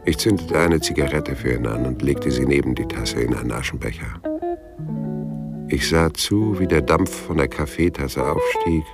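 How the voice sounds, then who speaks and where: An actor playing a laconic, world-weary private detective, narrating his case in a deadpan, sober way in a noir radio play. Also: rumbling low, normal speed. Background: guitar music.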